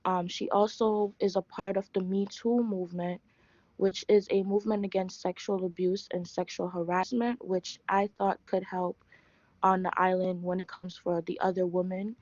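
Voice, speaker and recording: A woman's voice: on the low side, level tone, normal speed, darkish tone, dry recording, lo-fi sound. A young woman explaining her group's choices in a class presentation, with fillers. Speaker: student presenter.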